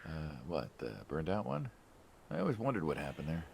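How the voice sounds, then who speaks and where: A city-slicker gangster for a game, with a very low, creaky American voice that swings widely. He is wry, chatty and inquisitive, always needling a friend. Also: sing-song, brisk, darkish tone, a little hiss or hum, exaggerated voice. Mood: curious. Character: teasing city-slicker mobster, male friend, chatty, probing. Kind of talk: naturalistic game acting.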